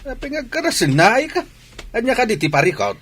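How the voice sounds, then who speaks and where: A man playing a blunt, exasperated village father with a raspy voice and an Ilocano accent in a comedy radio drama. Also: extremely high pitch, sing-song, fairly quick, fairly bright tone, heavily acted. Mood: impatient.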